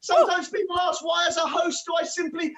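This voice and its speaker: A man hosting an online comedy open mic, loudly urging viewers to send messages and welcoming each act. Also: extremely high pitch, steady tone, brisk, distant mic. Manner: loud, hyped-up audience urging. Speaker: comedy show host.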